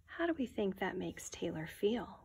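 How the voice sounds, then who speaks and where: A woman in her thirties, a teacher giving an expressive, deliberate read-aloud of a children's picture book. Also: mid-range voice, vocal fry, slight background noise.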